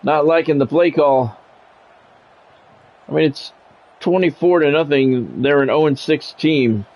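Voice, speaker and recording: A man's voice: a little high, measured pace, muffled recording. A man doing sports play-by-play commentary in short announcer-style phrases, mixed with casual chat to his viewers. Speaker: live streamer and play-by-play commentator.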